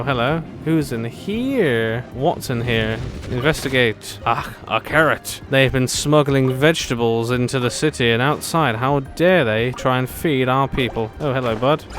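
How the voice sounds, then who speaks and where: Male gaming host: average pitch, joking and sarcastic casual commentary over light game music. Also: a bit bright. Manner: jokey, sarcastic, playful.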